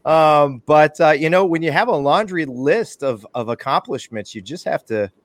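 A man's voice: on the high side, expressive, normal speed, dry recording. Male podcast host, playful and self-deprecating announcer patter, close and dry. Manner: jokey, self-mocking, chatty announcer patter. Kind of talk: casual podcast chat.

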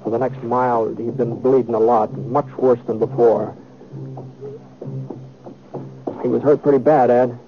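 A middle-aged American man playing a steady, laconic cowboy gunman in a 1950s radio western, speaking in a measured, folksy way. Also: mid-range voice, faint music behind, natural voice. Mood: grim, reflective. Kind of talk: 1950s radio western acting and first-person narration. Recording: tinny, noisy old radio recording.

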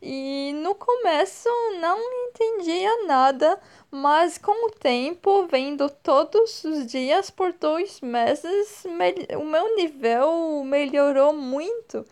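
A woman in her twenties from France with a French accent, speaking slowly and hesitantly as an interview guest, often pausing to search for words. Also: high voice, animated, darkish tone. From northern France. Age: 20s. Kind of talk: slow, careful speech with many pauses and fillers.

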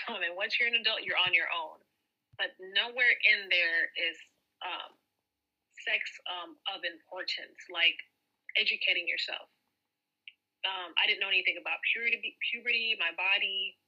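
A woman's voice: medium pitch, normal speed. A woman guest on a podcast telling her personal story in a candid, conversational way over a muffled remote connection.

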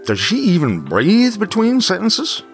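A man's voice: high-pitched, huge pitch swings, unhurried, soft background music, full character voice. An actor playing a snobbish, condescending businessman with a haughty manner, in a campy audio drama. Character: snobbish, condescending male executive.

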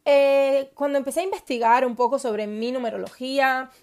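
A woman from Cuba hosting her own podcast, telling her personal story in a confiding, enthusiastic way with many pauses and fillers. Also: high-pitched, a little mellow. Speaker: podcast host.

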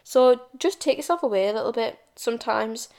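A woman's voice: fairly high pitch, expressive, fairly quick, darkish tone. A young British woman hosting her own podcast, chatting casually and rambling with restarts and stumbles. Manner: chatty, rambling, self-described stuttering and babbling. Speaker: podcast host. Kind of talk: casual solo podcast chat.

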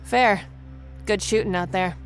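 An actress playing a conscientious, exasperated young fighter in a radio drama, with a sarcastic, protesting manner. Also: female voice, mid-range voice, unhurried, close to an everyday voice. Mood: weary, wry.

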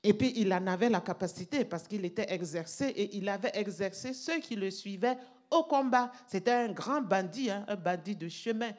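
A woman pastor preaching a sermon in deliberate teaching fashion, stopping to check that her listeners follow, speaking a language that is not her first. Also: medium pitch. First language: not French.

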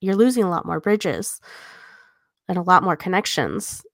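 A woman's voice: lowish voice, lively intonation, moderate pace, vocal fry, no echo, clean studio sound. A woman co-hosting a podcast, talking casually and earnestly as she explains her view and poses questions. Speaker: podcast co-host.

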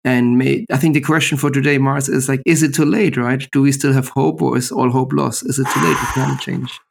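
Gravelly-voiced man hosting a podcast. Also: medium pitch, steady tone, brisk. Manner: upbeat, summarizing for listeners. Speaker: podcast host. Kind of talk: casual interview chat. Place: remote call. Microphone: video call.

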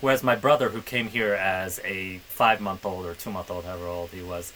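Bright-toned, reflective man. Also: low voice, fairly even intonation, brisk, a little hiss or hum, rough recording. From Middle East. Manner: reflective, hedging with "I think". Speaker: podcast co-host. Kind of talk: casual conversation.

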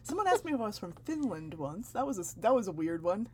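A woman's voice: medium pitch, sing-song, dark tone, a little hiss or hum. A woman co-hosting a podcast, riffing in bubbly casual chat. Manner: bubbly, riffing, quick laughs. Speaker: podcast co-host.

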